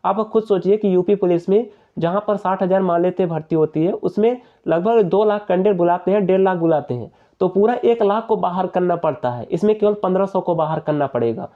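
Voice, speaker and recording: A man's voice: high-pitched, a little fast, few pauses, phone-quality sound. A young man, explaining informally to viewers in an earnest, repetitive, persuasive way. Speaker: exam-prep YouTuber.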